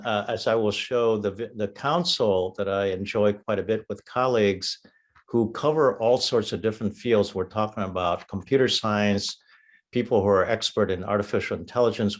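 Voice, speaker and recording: A man from Vietnam speaks in a fairly low voice as he presents at an online seminar. He explains things in a measured way and puts weight on his key points, like a council member addressing colleagues. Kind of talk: prepared webinar presentation.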